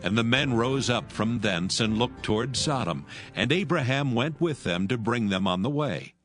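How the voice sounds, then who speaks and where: A man narrating scripture from a script in a measured, solemn manner. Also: medium pitch, lively intonation. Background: soft music.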